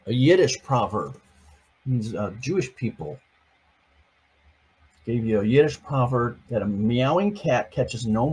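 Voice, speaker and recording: Older man, grandfather, reading a picture book aloud, husky, slow, playful asides. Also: medium pitch, rough recording. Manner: chatty asides between pages, gentle and playful.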